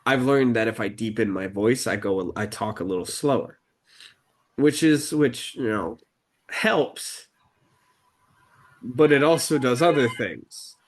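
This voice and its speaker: A man co-hosting a podcast, talking slowly and deliberately in a casual chat, with frequent fillers and restarts. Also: mid-range voice. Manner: halting, many fillers and restarts, deliberately slowed speech. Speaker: podcast co-host. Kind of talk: casual conversational podcast talk.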